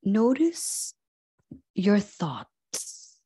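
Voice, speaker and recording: Slow, mellow woman guiding meditation. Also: medium pitch, breathy edge. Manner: calm, gentle, unhurried with long pauses. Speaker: yoga and meditation instructor. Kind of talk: guided meditation.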